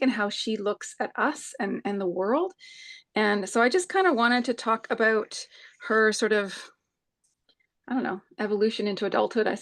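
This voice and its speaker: A woman in her forties co-hosting a live scrapbooking stream, talking in a thoughtful, hesitant way with many pauses. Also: mid-range voice. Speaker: co-host of a live scrapbooking crop. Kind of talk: casual chat while crafting.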